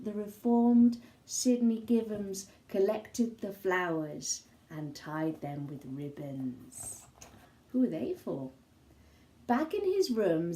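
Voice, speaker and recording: A woman reading a children's story aloud in an expressive style, with measured, dramatic phrasing and pauses. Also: fairly high pitch. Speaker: storybook reader.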